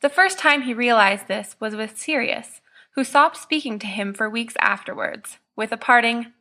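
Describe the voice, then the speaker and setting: A woman narrates a story in a bright, crisp-edged tone. Her voice sits a little high, and she reads from a script as an audiobook narrator. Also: expressive, moderate pace. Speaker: audiobook reader.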